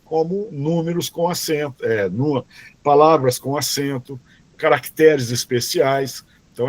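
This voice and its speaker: A man teaching a robotics class online, explaining code slowly and patiently, often checking in with "tá?" and "ok?" and correcting himself as he reads commands aloud. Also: a little high, no room sound. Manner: restarts and self-corrections while reading code aloud.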